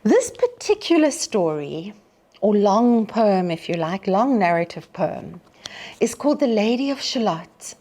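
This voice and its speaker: A woman teacher introducing and reading a narrative poem to schoolchildren in a deliberate, expressive way. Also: mid-range voice. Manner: deliberate, expressive, addressing children. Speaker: teacher reading a story to pupils. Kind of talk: storytime introduction and dramatic poetry reading.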